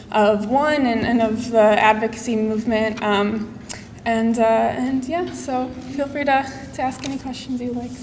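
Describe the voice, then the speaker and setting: A woman organizer speaking on a conference panel. She talks in an upbeat, halting way with frequent ums. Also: fairly high pitch, a little mellow. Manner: hesitant, many "um" fillers, upbeat. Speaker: advocacy staff organizer for the Midwest region. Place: conference hall. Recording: reverberant hall with faint noise.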